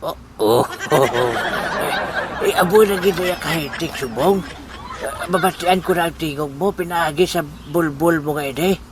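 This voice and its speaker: A man with a Western Visayan accent playing an excitable, bewildered cockfighter who dotes on his rooster, in a comedic audio drama, with exclamatory, stammering delivery. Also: a little high, normal speed, a crowd in the background, natural voice. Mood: amazed. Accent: Filipino, Western Visayan.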